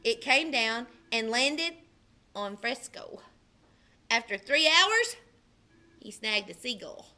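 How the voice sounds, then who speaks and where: A woman, a librarian, reading a children's picture book aloud with expressive delivery. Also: a little high, slow, pauses often. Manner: expressive, puts on character voices in quotes. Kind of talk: storybook read-aloud.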